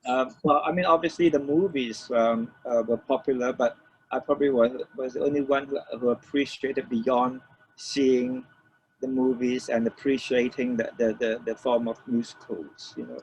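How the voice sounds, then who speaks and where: An older man from Malaysia, reminiscing as an interview guest in a halting way, repeating phrases as he explains. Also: medium pitch, darkish tone. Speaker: interview guest, record producer. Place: room with shelves of DVDs, on a remote call. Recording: dull lo-fi call, faint noise.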